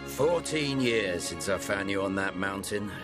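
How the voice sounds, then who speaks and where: Older man, gruff mentor, lowish pitch, video game dialogue, background music. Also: animated, a little slow. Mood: reflective. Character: older gruff male mentor, protective and reflective.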